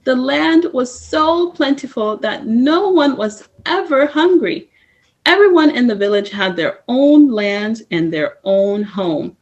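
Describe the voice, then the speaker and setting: A woman reading a first-person story aloud at story time, in a slow, measured way. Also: mid-range voice, highs cut off. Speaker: storytime reader. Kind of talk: reading a picture book aloud.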